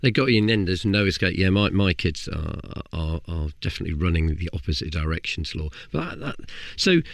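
A man's voice: low-pitched, lively intonation, creaky, no echo, clean studio sound. A man hosting a podcast, talking conversationally with frequent restarts. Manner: conversational, halting with repeated words. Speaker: podcast host. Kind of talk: casual interview chat.

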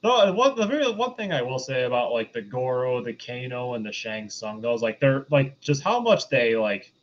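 A man's voice: medium pitch, sing-song, moderate pace. A man chatting casually as a podcast co-host, on a muffled recording. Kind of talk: casual chat. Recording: dull lo-fi sound, faint noise.

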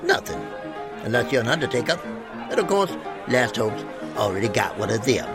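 An actor playing a chatty, darkly joking saloon local in a western audio drama, sardonic and knowing. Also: male voice, mid-range voice, sing-song, normal speed, lightly acted. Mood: wry, amused. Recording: music behind the voice.

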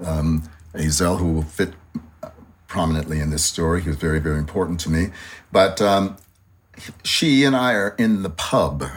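A man's voice: deep voice, husky. An older man telling stories in an interview, with light laughs and playful asides. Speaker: choreographer guest. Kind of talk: reflective interview storytelling.

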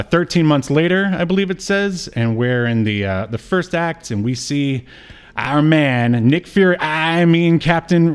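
A man co-hosting a podcast, chatting casually about television in a hesitant, halting way as he recaps. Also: medium pitch, clean tone. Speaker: podcast co-host. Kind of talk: casual TV review chat.

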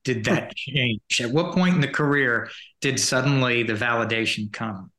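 A man's voice: medium pitch, normal speed, sharp tone. A man hosting an interview, asking questions and reacting in a casual, conversational way. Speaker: interview host.

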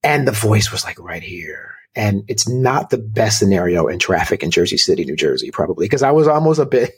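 Bubbly, breathy male podcast host. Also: on the low side, big pitch swings, brisk. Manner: bubbly, laughs often. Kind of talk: podcast interview.

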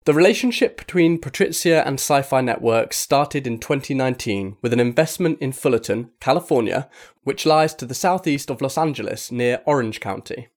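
A man with a medium-pitched voice reads a magazine feature aloud. The delivery is scripted narration, as for an audio article. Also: animated, normal speed. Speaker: article reader.

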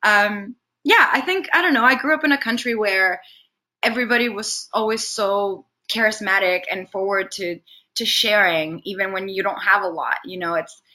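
A woman from Venezuela with Spanish as her native language, talking casually and expressively as an interview guest. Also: medium pitch. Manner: chatty, expressive, quick to laugh. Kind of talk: casual conversational interview, personal stories.

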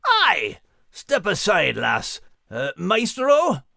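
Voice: mid-range voice, wildly swooping pitch, extremely slow, gravelly, pushed and bright, no echo, clean studio sound, faint music behind, heavily acted. An actor voicing a grumbling, cheeky male Scottie dog with a Scottish accent and a blustery manner. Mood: bossy, excited. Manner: blustery, protesting, folksy. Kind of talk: scripted character acting for children's podcast.

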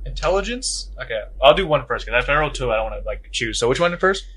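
A man's voice: mid-range voice, big pitch swings, fast, a bit bright, slight background noise. A man chatting casually as a player in a tabletop roleplaying game.

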